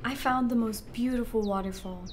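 A woman's voice: fairly high pitch, normal speed, slight background noise. A young woman chatting casually and improvising as a player in a tabletop roleplaying game. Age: young adult. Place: indoor studio set.

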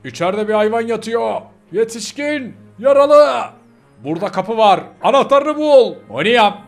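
Man as a high, loud, bossy sidekick animal. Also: animated, moderate pace, creaky, faint music behind, heavily acted. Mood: excited, urgent. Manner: loud, clipped, insistent. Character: excitable, urgent sidekick animal shouting orders at his pal.

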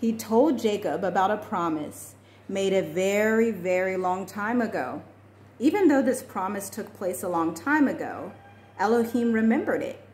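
A young adult woman reading a children's storybook aloud in a measured, expressive way. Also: mid-range voice. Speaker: children's book reader. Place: small indoor room at home. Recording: echoey room with faint noise.

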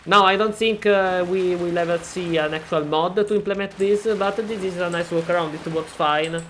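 Italian guy doing a game tutorial in english, lots of uh and restarts, kinda high voice, little bit of hiss. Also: steady tone, normal speed, a bit bright. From Italy. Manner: hesitant, many fillers and restarts, self-deprecating. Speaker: gaming tutorial maker. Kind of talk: casual screen-recorded tutorial.

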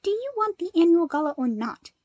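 A plucky young hero secretary, voiced by a woman reading a story. He is bubbly and playful, pitched high and light, teasing those around him. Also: sing-song, brisk, mellow, dry recording, lo-fi sound. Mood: upbeat, playful.

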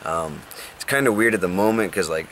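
A man's voice: deep voice, a little fast, a bit bright. A young man chatting casually to the camera from inside his parked van, rambling with lots of ums and likes. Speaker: vlogger. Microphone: camera close up. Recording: faint rain and vehicle noise.

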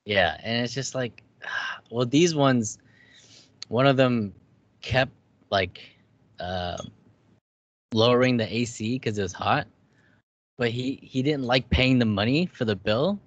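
A man's voice: medium pitch, slow, pauses often, no room sound, dull audio. A young man hosting a podcast from home, chatting casually and asking curious questions, with now and then a casual curse. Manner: relaxed, curious, swears casually. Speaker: podcast host. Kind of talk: casual video-call chat. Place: home gaming setup, indoors. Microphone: headset with desk mic.

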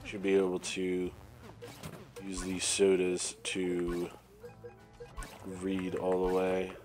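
A man commentating casually while playing a prison game, his voice raspy and nasal from a cold, thinking aloud as he plays. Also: low voice, completely flat delivery, slow, darkish tone. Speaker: gameplay commentator. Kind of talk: casual let's-play commentary. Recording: faint game music behind.

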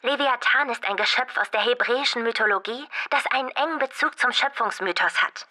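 A woman's voice: a little high, fairly quick, pushed and bright. An actress playing an earnest, well-informed teenage girl explaining things emphatically in an audio drama.

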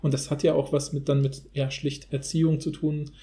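A man's voice: on the high side, little pitch movement, a little fast, dark tone. A man in a casual analytical podcast discussion, talking in a rambling, academic way.

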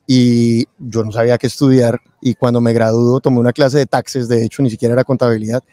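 A man speaks as a guest in a casual interview. His voice is mellow and relaxed, at a middling pitch. The sound is dry and close to the microphone. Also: a little fast, no echo. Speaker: interview guest, tax lawyer and accountant. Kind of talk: casual interview, telling own story. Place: convention event booth, indoors. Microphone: close boom-arm microphone with headset.

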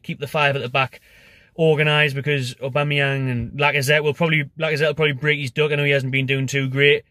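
Young man, Geordie accent, casual fan chat, parked car, middle pitch, dry close sound. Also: sharp tone, no room sound. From North East England. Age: young adult. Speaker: football fan pundit. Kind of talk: casual fan preview to camera. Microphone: phone camera at arm's length.

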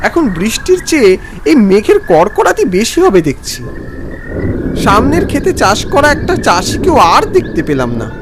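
A man narrating a scripted first-person story in a dramatic, expressive way. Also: sits high.

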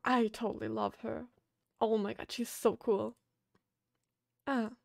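High-voiced gushing woman streamer. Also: unhurried, creaky, dry recording, studio quality. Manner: gushing, giggly, expressive. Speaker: streamer reading a visual novel aloud. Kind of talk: casual game stream chat with dramatic read-aloud.

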